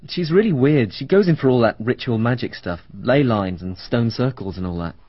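An actor playing a gossipy, confiding local in a radio drama. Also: male voice, mid-range voice, lively intonation, normal speed, breathy edge, no room sound. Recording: dull dry radio drama recording.

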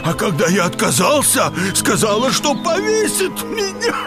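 High-voiced, anguished fairy-tale forester. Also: male voice, huge pitch swings, unhurried, over music, full character voice. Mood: urgent, troubled. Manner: pleading, emotional. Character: remorseful, kindly royal forester. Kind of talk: audio fairy-tale play acting.

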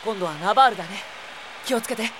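An actor voicing a gentle, earnest young prince in a Japanese audio drama, anxious for friends. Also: medium pitch, fast, noisy background, close to an everyday voice.

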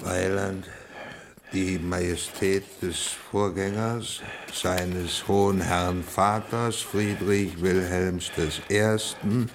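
An actor playing a formal, dutiful royal minister in a German radio play, with a measured declamatory manner. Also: male voice, on the low side, slight background noise.

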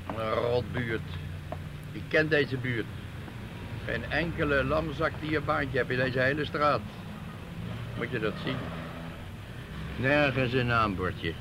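An actor playing a sarcastic, domineering police detective with a crude tongue in a radio drama. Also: male voice, mid-range voice, slow pace, pauses often, background noise. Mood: sneering. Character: gruff, bullying, contemptuous male police detective with crude tongue.

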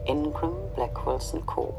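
An actor playing an automatic talking elevator advertiser in a radio play, a slick salesman making deliberate announcements. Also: fairly high pitch, fairly even intonation, creaky, music in the background, poor audio. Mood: smooth, promotional.

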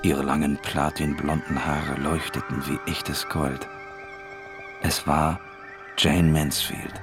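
An actor playing a world-weary, laconic noir narrator in a radio drama, telling the story with resignation. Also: male voice, a bass voice, flat delivery, takes it slowly. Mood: somber. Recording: music playing behind the voice.

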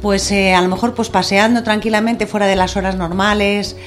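A woman's voice: mid-range voice, little pitch movement, a little fast, faint music behind. A woman from Madrid chatting on a radio panel in a thoughtful, hesitant way. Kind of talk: casual radio chat.

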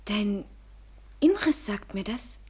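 An actress playing a tender, fragile woman parting from her lover in an intimate German radio play, hushed and restrained. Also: medium pitch, very animated, takes it slowly, heavily acted. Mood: hushed, uncertain. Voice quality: breathy, soft.

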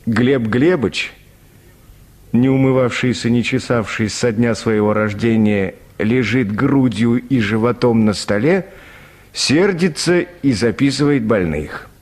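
A man's voice: medium pitch, unhurried, darkish tone. A man narrating a literary short story for a Russian radio play.

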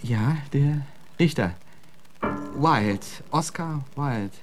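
An actor playing an urbane, witty poet in a radio play, suave and flowery with a dry humour. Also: male voice, mid-range voice, expressive, extremely slow. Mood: polite, careful. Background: music.

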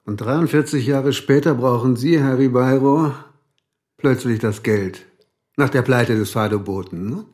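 An actor playing a gruff, middle-aged police inspector in a radio crime drama, wry, brusque and colloquial. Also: male voice, medium pitch, unhurried, a little mellow, close to an everyday voice. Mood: probing, sardonic. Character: gruff, sardonic male police chief inspector. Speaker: police detective.

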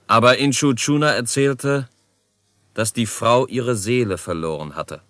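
A man's voice: medium pitch, unhurried, fairly bright tone, no echo, natural voice. A male actor playing a proud, dignified chief in a German radio drama, with a grave and formal way of speaking.

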